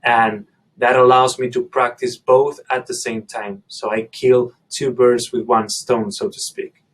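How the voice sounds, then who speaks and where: Brief: male host, Spanish native, husky mid voice, methodical explainer. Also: medium pitch, flat delivery, a little slow, rough recording. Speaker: language learning video host. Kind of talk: instructional monologue to camera.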